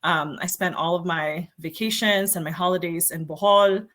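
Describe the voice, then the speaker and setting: Chatty woman guest, grew up in the philippines, bright forceful voice, lots of ums and you knows. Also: lowish voice, steady tone, moderate pace. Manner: chatty, many fillers like um and you know. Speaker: journalist and guest. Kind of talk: casual interview talk.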